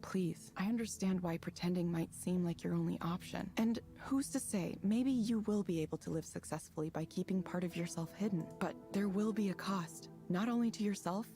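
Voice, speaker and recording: An actress playing a young woman counselor, speaking calmly and earnestly. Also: fairly low pitch, close to an everyday voice. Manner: calm, earnest, gentle. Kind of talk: video game voice acting.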